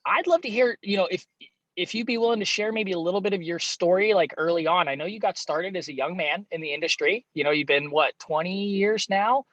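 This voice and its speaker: Bright-voiced man hosting a casual call. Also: high-pitched, a little fast, dry recording, highs cut off. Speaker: host of a training call. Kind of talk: casual interview and introduction.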